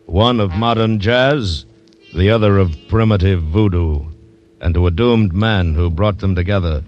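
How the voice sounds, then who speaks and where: A man announcing an old-time radio thriller in a portentous, measured, scripted style, on a dull old recording. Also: deep voice, a little mellow. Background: music.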